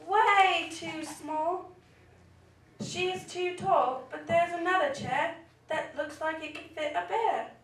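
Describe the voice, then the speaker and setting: Woman doing fussy little girl in a puppet show, high singsong rhymes, echoey room. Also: slow pace, a bit bright, exaggerated voice. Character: Goldilocks, wandering young girl, fussy and curious. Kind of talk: rhyming poetry puppet show performance. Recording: roomy, distant mic, faint noise.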